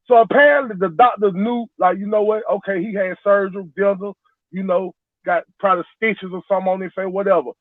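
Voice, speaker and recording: 40 yr old guy on a tinny phone line, high voice, keeps repeating himself. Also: steady tone, a little fast, dry recording. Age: 40s. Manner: repetitive, defensive, rambling. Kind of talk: heated casual debate.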